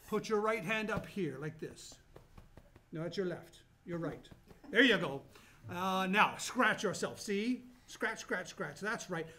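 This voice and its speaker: A man playing a kindly, playful old man in a radio play, gentle and encouraging in manner. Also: sits high. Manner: gentle, chuckling, hesitant repeats. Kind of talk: stage-style radio play acting.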